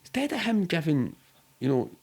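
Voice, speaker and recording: A man's voice: fairly high pitch, very animated, normal speed, creaky, a little mellow. A middle-aged Scottish man telling stories casually as an interview guest, rambling and sweary in a matter-of-fact way. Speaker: podcast guest.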